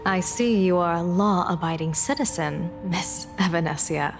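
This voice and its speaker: A woman playing a composed female general and diviner in a video game, polite and measured with dry sarcasm. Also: lowish voice, gravelly, music in the background. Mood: politely teasing.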